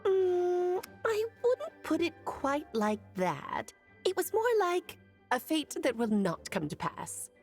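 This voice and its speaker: A woman voicing an ageless, cheerful and wise witch who speaks in a lilting, gently teasing way. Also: sits high, very animated, takes it slowly, soft background music, exaggerated voice. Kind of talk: video game voice acting.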